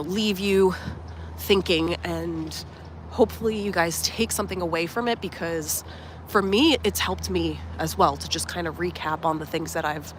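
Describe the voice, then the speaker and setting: A young woman talks to her live-stream viewers while walking outside, her voice a touch nasal from a cold. She sounds earnest and reflective and drifts through plenty of "um"s and "like"s. A faint outdoor hum sits behind her. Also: on the low side. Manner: warm, earnest, reflective, many fillers like "um" and "like". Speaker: life coach and channel host. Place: outdoors at a resort at sunrise.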